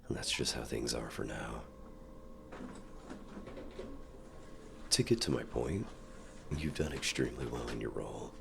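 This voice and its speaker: Very deep, gravelly CEO played by a man. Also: slight background noise. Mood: measured, approving. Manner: smooth, measured, polite corporate reassurance, deliberate pauses. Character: suave, dominant male company CEO, courteous and quietly controlling. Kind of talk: ASMR roleplay acting, addressing the listener directly.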